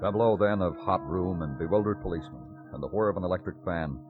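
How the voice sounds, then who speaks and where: Man as a deep, weary hard-boiled radio detective. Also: fast, close to an everyday voice. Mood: brooding. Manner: terse, world-weary, poetic noir narration. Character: weary, hard-boiled male police detective, brooding and curt. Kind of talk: 1950s noir radio drama acting. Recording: muffled old radio recording with music.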